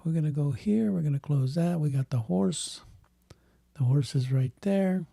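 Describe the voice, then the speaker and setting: A man hosting a tech channel, thinking aloud in halting bits while he clicks through software, with a voice that is giving out. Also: a little high, vocal fry, no echo, clean studio sound. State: losing his voice. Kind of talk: casual screen walkthrough.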